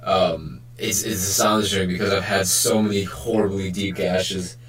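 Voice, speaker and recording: A man co-hosting a casual podcast, talking in a halting, rambling way full of fillers. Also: deep voice, steady tone, fairly bright tone. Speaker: podcast co-host. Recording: roomy echo, distant from mic.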